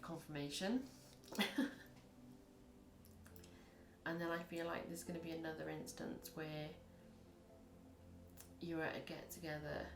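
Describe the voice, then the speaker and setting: A woman with a husky voice, reading tarot in a chatty, rambling way and acting out little scenes as she goes. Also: deep voice. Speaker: tarot reader. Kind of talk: casual tarot reading to camera. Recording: echoey room.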